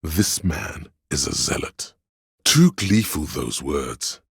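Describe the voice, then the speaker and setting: A scheming, theatrical servant of drama from a video game, counselling his liege in sly, archaic flourishes. The voice is profoundly low and thick with gravel. It is recorded close and very dry. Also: animated, slow, no echo, exaggerated voice. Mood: sly, appraising. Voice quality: gravelly, husky.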